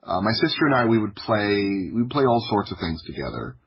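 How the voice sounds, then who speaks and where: A man from the United States telling personal stories casually as a podcast guest, in an informal, humorous way with a husky voice. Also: fairly low pitch, big pitch swings, a little fast, highs cut off. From United States (Florida). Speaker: podcast guest, audio drama creator.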